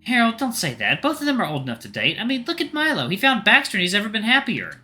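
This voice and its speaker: Nosy, coaxing middle-aged mother performed by a man, pitched well up, swooping lively delivery, audio story. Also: fast talker, soft background music, heavily acted. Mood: chiding, fond. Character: chatty, prying middle-aged mother, eager matchmaker.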